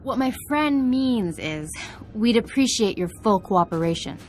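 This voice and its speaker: An actress playing a composed, reassuring Jedi tactician in a video game, speaking in a measured, polite and instructive way. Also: female voice, fairly high pitch, lively intonation, a little mellow, slight background noise. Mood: diplomatic. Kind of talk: dramatic video game acting.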